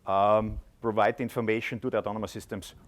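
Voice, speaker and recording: A man's voice: fairly low pitch, flat delivery, fast. A man with an Austrian accent presenting a conference talk, explaining earnestly with frequent filler sounds. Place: conference hall.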